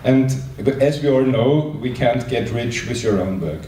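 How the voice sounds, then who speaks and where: Mellow, halting man in a roomy space. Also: mid-range voice, lively intonation. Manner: halting, repeats words. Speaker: private sector representative, company head. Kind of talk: public speech at a panel event. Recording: roomy, distant mic, faint noise.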